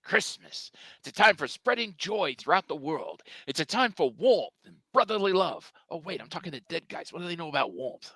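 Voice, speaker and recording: Hapless, earnest cartoon hero, voiced by a man: melodramatic, lively swings, dry close sound. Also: on the high side, moderate pace, hardly any pauses, breathy edge, no echo, exaggerated voice. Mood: pleading. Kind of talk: live dramatic table read of a cartoon.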